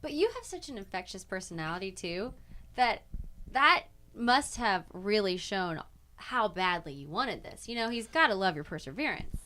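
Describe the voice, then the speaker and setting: A woman's voice: mid-range voice, sing-song, moderate pace, bright, forceful tone. A young woman hosting a casual interview, encouraging and enthusiastic toward her guest. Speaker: podcast host.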